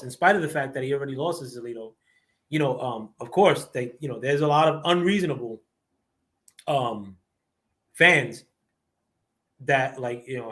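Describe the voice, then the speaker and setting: A man with a squeaky voice ranting casually and profanely on his live stream, rambling with many fillers. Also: fairly bright tone. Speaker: livestream host. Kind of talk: casual boxing rant.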